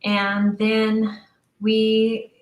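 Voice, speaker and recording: A woman's voice: on the high side, monotone, extremely slow, roomy sound. A woman speaking informally as an interview guest, thinking aloud as she explains her creative work in a chatty, conversational way. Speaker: audio drama writer and director, interview guest.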